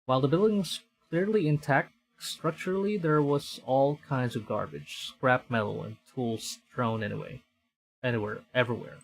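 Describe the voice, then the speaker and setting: A man's voice: mid-range voice, moderate pace, creaky, husky, poor audio. Man narrating a visual novel on stream. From Philippines. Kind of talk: dramatic game narration with casual commentary.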